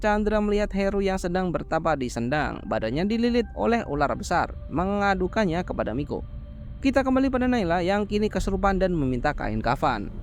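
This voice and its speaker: A man narrating a horror film recap in a casual, brisk way, dropping slangy joking asides between plot beats. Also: high-pitched, a little hiss or hum.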